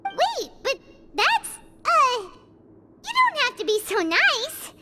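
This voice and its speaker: A woman voicing a small, cute, excitable floating companion girl in a video game, with a squeaky childlike voice. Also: slow, exaggerated voice. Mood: softening, hesitant. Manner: speaks of self in third person, exclamatory. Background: loud game music.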